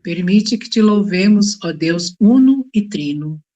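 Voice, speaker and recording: Prayer reader, mid-pitched voice, slow and solemn. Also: animated, dark tone, muffled recording. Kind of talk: reading a prayer aloud.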